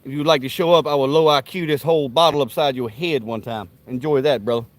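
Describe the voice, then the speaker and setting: A man's voice: mid-range voice, quick pace. A man hosting a live stream outdoors at a backyard grill, rambling to his viewers with profane, rowdy trash talk and folksy banter. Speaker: livestream host.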